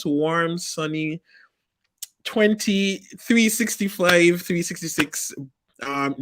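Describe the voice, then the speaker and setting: Expressive, laughing male student, slightly high voice, dry close sound. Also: no room sound. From Saint Kitts and Nevis. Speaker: PhD student. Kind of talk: casual panel chat.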